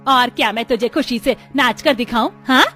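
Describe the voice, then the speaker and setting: A woman's voice: a little high, moderate pace, a bit bright, faint music behind. A woman voicing a harsh, domineering older mother-in-law in a cartoon, scolding and cursing. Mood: taunting. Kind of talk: Hindi cartoon voice acting.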